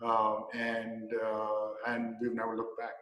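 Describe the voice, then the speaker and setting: Pakistani-accented man in his forties, guest, halting and chatty, heard in a reverberant hall. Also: on the low side, completely flat delivery, fairly bright tone, slight background noise. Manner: halting, many restarts and "you know" fillers, easy chuckles. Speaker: company president, guest. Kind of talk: casual interview answers. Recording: echoey room with long reverb.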